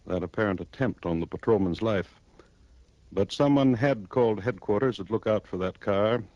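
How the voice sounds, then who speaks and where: Casting: male actor for cold, authoritative judge, lowish voice, formal delivery, dry and dull vintage radio sound. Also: moderate pace, a little mellow, dry recording, natural voice. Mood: stern, explaining. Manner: stern, formal, clipped. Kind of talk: 1950s radio drama acting.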